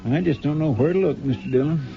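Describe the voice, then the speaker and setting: Plain folksy sidekick, loyal n simple, dull 50s radio show w music. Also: male voice, fairly high pitch, fast talker, creaky. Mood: puzzled. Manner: deferential, plain-spoken. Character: earnest, simple, loyal frontier marshal's helper. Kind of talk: 1950s radio western acting. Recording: dull old radio broadcast, music behind.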